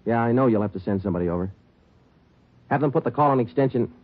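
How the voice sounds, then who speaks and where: An actor playing a terse, deadpan police detective in a 1940s radio drama, clipped and businesslike. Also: male voice, medium pitch, fast talker, lightly acted. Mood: firm. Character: terse, businesslike male police detective who also narrates. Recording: tinny old radio recording.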